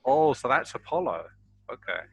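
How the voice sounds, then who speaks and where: A man's voice: medium pitch, sing-song, normal speed, vocal fry, a bit bright, slightly rough, dry recording. A man playing a tabletop role-playing game, talking casually with the group as he works out the next move.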